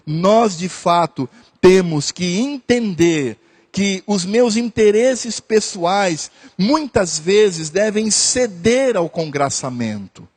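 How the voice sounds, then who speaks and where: A man's voice: sits high, a little mellow. A man preaching a sermon as pastor, repeating phrases earnestly and hesitating at times.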